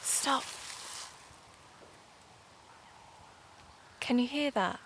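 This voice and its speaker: An actress playing a brisk, dry-humoured woman who makes an amateur true-crime podcast, in an audio drama. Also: high-pitched, fairly bright tone, a little hiss or hum. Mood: wry, watchful.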